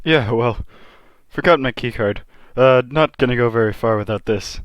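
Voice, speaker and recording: A mild middle-aged father acted by a man in a comic dub. He speaks plainly and caringly to his kid, at an ordinary male pitch. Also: moderate pace, natural voice. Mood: mildly concerned.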